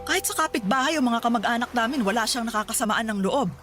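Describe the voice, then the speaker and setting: A woman playing a concerned, protective middle-aged Filipino mother in a radio drama, firm and brisk. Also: a little high, expressive, fairly bright tone, faint music behind. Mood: protective, questioning.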